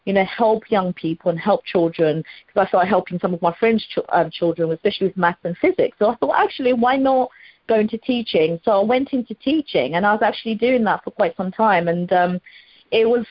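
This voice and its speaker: A woman's voice: medium pitch, a little fast, no room sound. Guest: middle-aged woman, eager chat, muffled dry audio. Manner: enthusiastic, frequent fillers and restarts. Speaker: guest, former primary teacher. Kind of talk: casual interview chat.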